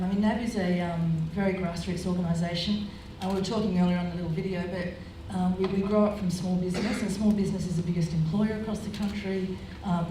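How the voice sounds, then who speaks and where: A woman business executive answering a panel question in measured, corporate phrasing. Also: lowish voice, mellow, slight background noise. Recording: echoey hall, distant mic.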